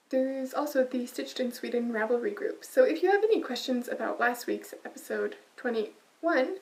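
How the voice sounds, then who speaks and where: Soft-spoken woman podcaster, slightly distant. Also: on the high side. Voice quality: quiet voice. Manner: soft-spoken, many ums and uhs. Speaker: podcast host. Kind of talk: casual knitting podcast chat.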